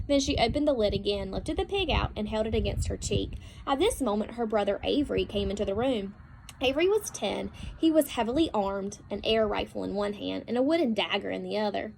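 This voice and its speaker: A woman's voice: medium pitch, lively intonation, brisk, creaky, a little mellow, rough recording. A woman reading a children's story aloud as a storybook narrator. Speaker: storybook reader. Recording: faint background noise.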